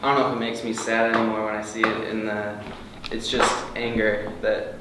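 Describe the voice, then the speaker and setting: A man's voice: lowish voice, flat delivery, fairly quick. A man speaking earnestly as an actor in a group discussion at a table read. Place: indoor room with a group. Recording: very echoey room, distant, noisy.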